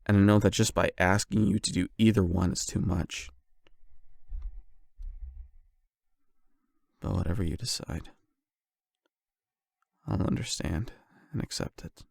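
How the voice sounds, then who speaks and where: A young man playing a nervous, lovestruck online friend in an intimate ASMR roleplay, speaking haltingly and awkwardly with self-doubt. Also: low voice, steady tone, creaky, a little mellow, dry recording, studio quality. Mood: resigned, tender.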